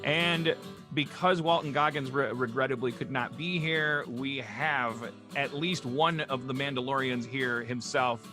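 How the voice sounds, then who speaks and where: Man, mid pitch, casual host, wry rambling asides, music behind. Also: unhurried. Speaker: host introducing cast and reading stage directions. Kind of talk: casual hosting of a virtual table read.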